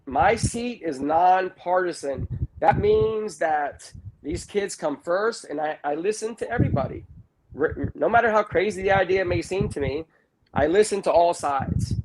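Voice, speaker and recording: A man's voice: high voice, expressive, moderate pace. A man in his early fifties talking casually and informally as a candidate in an interview, with emphatic repetition. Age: early 50s. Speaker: school board candidate being interviewed. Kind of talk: casual, unscripted interview talk. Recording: clearly noisy recording with clicks.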